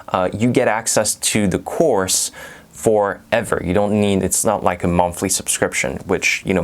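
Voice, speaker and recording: A young man with a French accent and a very low voice talks through a product review. He is relaxed and explanatory, and his speech is full of fillers and restarts. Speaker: language-learning YouTuber.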